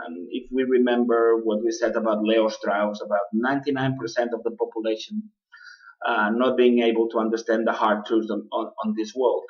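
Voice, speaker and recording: Guy doing his radio talk show, lots of uh and restarts, kinda far from the mic, dull lo-fi sound. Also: mid-range voice. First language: not English. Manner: halting, many uh fillers and restarts. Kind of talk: political commentary monologue.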